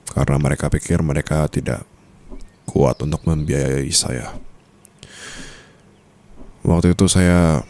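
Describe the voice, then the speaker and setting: An actor with an Indonesian accent playing a calm, teasing and tender mafia boss in an intimate ASMR roleplay, speaking softly and slowly with pauses. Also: male voice, full character voice. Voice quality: very deep, creaky voice.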